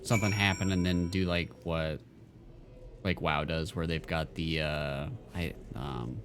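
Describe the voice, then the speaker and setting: Streamer type: low-voiced man, rambling casual gamer chat, faint game music underneath. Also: steady tone, unhurried, fairly bright tone. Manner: rambling, lots of fillers and restarts. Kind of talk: casual chat while gaming.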